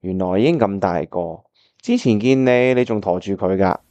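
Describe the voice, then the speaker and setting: A man's voice: fairly low pitch, normal speed, warm tone, no room sound, dull audio, close to an everyday voice. A man playing an earnest, reflective bachelor in a Cantonese radio drama, with a Hong Kong accent. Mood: friendly. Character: earnest, reflective bachelor looking back on old love.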